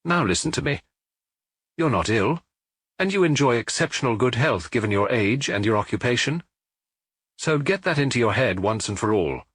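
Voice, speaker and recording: Man reading frank family doctor, brisk, reassuring, husky, close and dry. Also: medium pitch, lively intonation, fairly bright tone, no echo. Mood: firm. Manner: brisk, reassuring, lightly teasing.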